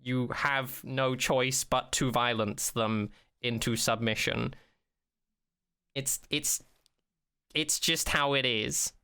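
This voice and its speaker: Bright, clear-voiced man ranting casually. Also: mid-range voice, slow, long pauses. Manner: animated retelling with mock quotes, frequent swearing. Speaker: podcast co-host. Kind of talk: casual chat, opinionated rant about a TV show.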